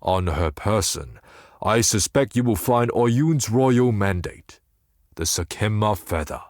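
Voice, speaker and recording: Brooding desert mystic for a fantasy game: deep, portentous, theatrical delivery, very dry close sound. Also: male voice, expressive, dry recording. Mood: grave, measured. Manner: portentous, measured, ornate speech. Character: cryptic male desert seer, brooding, solemn and faintly mocking. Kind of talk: theatrical video game character dialogue.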